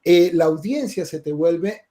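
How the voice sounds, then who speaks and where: A Colombian man hosting a webinar over a video call, a game streamer who chats casually and tags his remarks with quick asides. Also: on the high side, expressive, warm tone.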